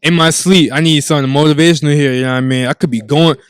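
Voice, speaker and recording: A man's voice: fairly high pitch, steady tone, fast, smooth, no echo. A man guest who DJs, talking casually on a podcast in an emphatic, profane, repetitive way.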